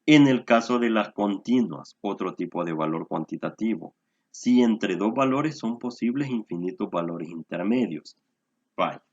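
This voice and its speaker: A man's voice: lowish voice, expressive, a little slow, highs cut off. A man tutoring a statistics class online, explaining patiently and checking in with "¿verdad?". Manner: explanatory, often tags "¿verdad?".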